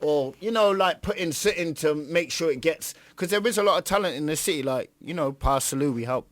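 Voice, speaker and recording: British man, fairly high voice, dry sound, casual slangy talk, emphatic, repetitive phrasing. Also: a little fast, dry recording. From Coventry, England. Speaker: interview guest, music artist. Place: radio studio.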